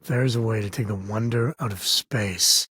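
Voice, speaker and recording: An actor voicing a roguish, battle-worn spacefaring frontier ranger in a video game, plain-spoken and folksy with a husky voice. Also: male voice, fairly low pitch, moderate pace, a bit bright, dry recording, clean studio sound. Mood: wry, easygoing. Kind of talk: scripted video game voice lines.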